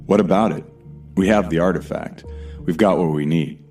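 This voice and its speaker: A man's voice: low voice, moderate pace, a little mellow, natural voice. An actor playing a tense, bitter man who narrates his horror ordeal in a brooding, profane first person. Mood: grim, wary. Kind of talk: scripted audio drama narration. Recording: music behind the voice.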